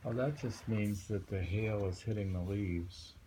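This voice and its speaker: A man reading poems aloud and commenting on them informally in a halting, musing way. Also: on the low side, steady tone, warm tone, slight background noise, poor audio. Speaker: poetry reader and commentator.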